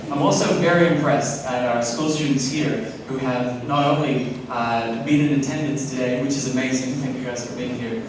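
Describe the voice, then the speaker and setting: A man with a Hong Kong accent hosting a conference, hesitant with many fillers and self-deprecating jokes. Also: medium pitch. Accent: Hong Kong English. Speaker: conference host. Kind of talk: emcee introductions with jokes. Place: conference hall. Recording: echoey hall, distant mic, noise.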